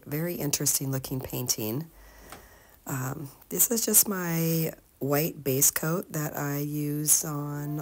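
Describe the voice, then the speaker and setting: A woman with a slightly hoarse voice, giving a casual, halting painting tutorial as the host. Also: sits low, darkish tone, a little hiss or hum. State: slightly hoarse from being sick. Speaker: host of an art tutorial channel.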